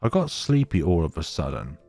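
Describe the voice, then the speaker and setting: Male let's player, lowish voice, highly expressive dramatic read with offhand commentary, slightly roomy sound over faint music. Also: a little fast. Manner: dramatic reading with casual asides. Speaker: gaming channel host. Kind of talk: let's play, reading visual novel text aloud with commentary.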